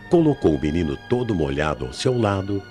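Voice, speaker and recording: A man with a Brazilian accent narrating a radio mystery drama in a solemn, theatrical way with deliberate phrasing. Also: deep voice, sing-song. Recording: old AM radio tape, music underneath.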